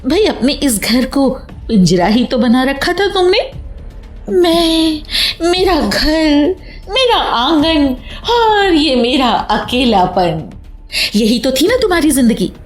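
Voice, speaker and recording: A vivacious, knowing married woman in a radio play. Her high voice swoops playfully and theatrically, teasing in a slightly echoey room. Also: sing-song, takes it slowly, soft background music, exaggerated voice. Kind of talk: Hindi radio play acting.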